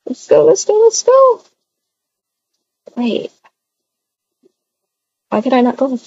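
A woman's voice: high-pitched, big pitch swings, takes it slowly, mellow, slightly rough, highs cut off. A woman improvising a voiced video-game roleplay, chatting and narrating her own actions aloud.

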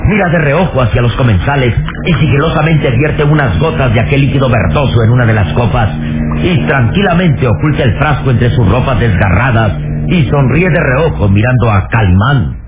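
A man's voice: mid-range voice, lively intonation, natural voice. Solemn radio-serial narrator voiced by a man, tinny. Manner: measured, dramatic. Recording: tinny old radio recording, loud music.

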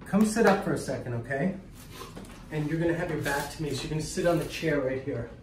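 A man's voice: fairly high pitch, lively intonation, fast. A man in his fifties, a chiropractor hosting a casual treatment video, giving instructions and reassuring the patient as he goes. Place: small indoor treatment room. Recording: roomy, distant mic with clear background noise.

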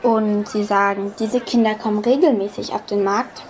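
A woman's voice: mid-range voice, steady tone, normal speed, a little mellow, slight background noise, lightly acted. A woman playing a suspicious, authoritative policewoman in a children's audio drama. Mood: probing. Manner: stern, authoritative questioning.

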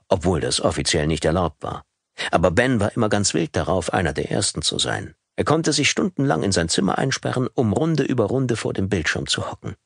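A man reading an audiobook in a calm, measured storytelling manner, close to a studio microphone. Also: lowish voice, creaky, slightly rough, no echo. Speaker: audiobook narrator. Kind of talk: scripted audiobook narration.

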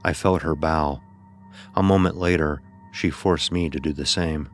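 A man playing a blind son who narrates his dark ordeal in a solemn, literary way, as horror drama. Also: extremely low pitch, a little slow. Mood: somber. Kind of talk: scripted first-person horror narration. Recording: voice over background music.

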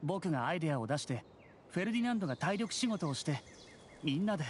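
A man's voice: on the high side, sing-song, quick pace, a little hiss or hum. A man playing a brainy, reassuring young adult brother in a Japanese anime game.

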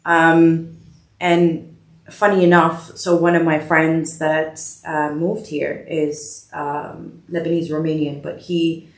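A woman's voice: low-pitched, level tone. Chatty woman in an echoey room. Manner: chatty, quick bursts with frequent fillers and restarts. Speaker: podcast guest. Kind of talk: casual interview chat. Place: living room, indoors, medium size.

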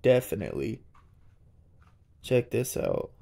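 A young man, narrating a casual, excited walkthrough of an abandoned house. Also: medium pitch, takes it slowly, creaky. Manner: excited, marveling, chatty. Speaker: urban explorer vlogger. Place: inside an abandoned old house.

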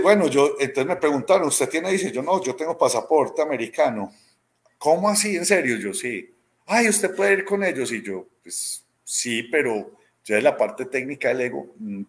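A man in his thirties with a Colombian accent from Medellín, telling stories casually in an interview and acting out quoted dialogue as he goes. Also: medium pitch. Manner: conversational, acts out quoted dialogue, often tags with "¿cierto?". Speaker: robotics teacher being interviewed. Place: small room at home, indoors, video call.

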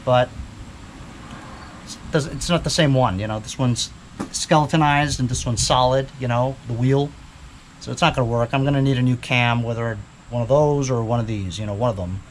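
A man's voice: mid-range voice, moderate pace, a little hiss or hum, low-quality recording. A man chatting casually in a laid-back, rambling, slangy way as he shows his repair work in a garage. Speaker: DIY small-engine repair vlogger. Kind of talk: casual chat while showing repair work. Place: cluttered home garage with open door.

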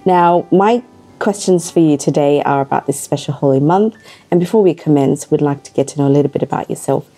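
Host: woman, deep mellow voice, studio talk show interviewer, affirming, faint music under. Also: a little fast. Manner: warm formal welcomes, frequent affirming interjections. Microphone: table microphone.

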